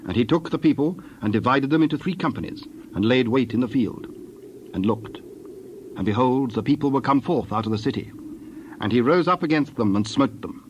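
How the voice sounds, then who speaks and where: A man narrates a dramatized scripture reading. His mid-pitched voice is solemn and measured. The recording is slightly dull, with faint noise behind him.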